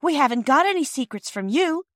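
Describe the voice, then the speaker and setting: An earnest, anxious storybook child, voiced by a woman, pleading with a grown-up. The voice is lifted high above the narration and recorded very dry. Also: animated, fairly quick, fairly bright tone, no echo.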